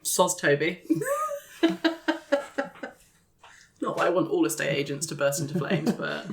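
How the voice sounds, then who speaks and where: Player, mid-pitch, casual, chatty explaining. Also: fairly even intonation, measured pace. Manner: casual, explaining with fillers and restarts.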